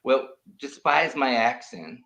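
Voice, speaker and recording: A man's voice: medium pitch, big pitch swings, slow, creaky, fairly bright tone, poor audio. An older man from Brazil with a Brazilian Portuguese accent, chatting as an interview guest, rambling and restarting his sentences. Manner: rambling, restarts sentences, non-native phrasing. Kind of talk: casual conversation.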